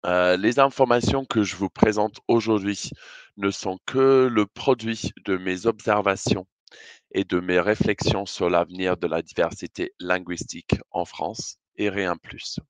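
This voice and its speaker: A British man with a low, deep voice delivers a prepared presentation. His British accent shows, and his phrasing is measured and hesitant. The sound is dry and close, with little room around it. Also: no room sound. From Britain. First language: English. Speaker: conference speaker.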